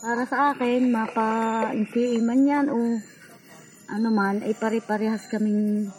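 A woman's voice: on the high side, flat delivery, moderate pace, a little mellow, rough recording. A woman in her late twenties with a Filipino accent, giving a personal testimony. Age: 20s. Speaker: member of a rural organization giving a testimonial.

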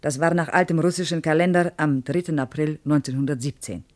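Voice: medium pitch, brisk, a little mellow, close to an everyday voice. A performer playing an earnest, reverent female Soviet tour guide in a radio play, reciting history. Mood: earnest, proud.

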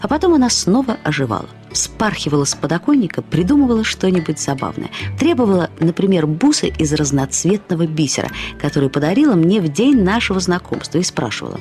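A woman reading literary prose as a radio storyteller, in an expressive, reflective, measured way. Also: on the low side. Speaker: narrator of a short story. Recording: studio radio production with music. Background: music.

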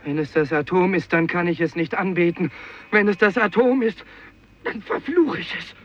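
A desperate, panicked fisherman in a vintage radio drama. His voice is very high and exclaiming, full of frantic terror, on a dull old recording. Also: moderate pace, fairly bright tone. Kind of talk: 1950s German radio play acting.

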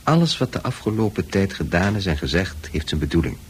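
A man acting a calm, authoritative psychiatrist in a radio drama, speaking in a measured, reassuring way. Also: low-pitched. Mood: calm, firm. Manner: measured, reassuring, hypnotically slow at times. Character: calm, authoritative male psychiatrist soothing a patient. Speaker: actor in a radio play. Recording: noisy old radio play recording.

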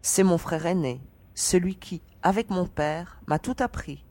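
A woman's voice: lowish voice, measured pace, darkish tone, no echo, close to an everyday voice. A woman playing a confident young mercenary leader in a science fiction audio drama, composed and respectful.

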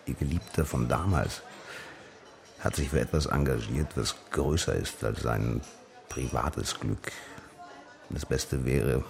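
An actor playing a world-weary, guarded Russian man in a radio drama, gravelly, blunt and formal, giving stern warnings. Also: very deep voice, takes it slowly, long pauses, full character voice. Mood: grim warning. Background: music.

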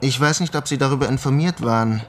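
Actor as a probing male investigator, medium-pitched. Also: fast, a little hiss or hum, natural voice. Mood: concerned, businesslike. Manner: inquisitive, matter-of-fact. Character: brisk, probing male investigator questioning scientists. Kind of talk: radio drama dialogue.

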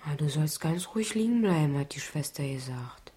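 An actress playing a casual teenage schoolmate on a hospital visit in a German radio drama. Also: female voice, sits low, lively intonation, moderate pace, warm tone, husky. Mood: casual, subdued.

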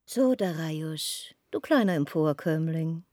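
A woman's voice: low voice, lively intonation, slow pace, warm tone, dry recording, studio quality. An actress playing an imperious, scornful Persian queen in a comic radio play, with a commanding, sardonic manner. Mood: haughty, threatening.

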